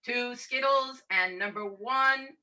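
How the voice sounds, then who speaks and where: A woman's voice: on the high side, slow pace, bright, forceful tone. A woman playfully presenting a mock news countdown in short phrases over a video call. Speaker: club segment presenter. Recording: distant video-call microphone.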